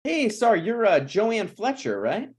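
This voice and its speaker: A man playing a brazen, scheming slacker screenwriter in a table read of a TV pilot, blunt and presumptuous with halting phrasing. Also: sits high, very animated, darkish tone. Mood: pushy, conniving.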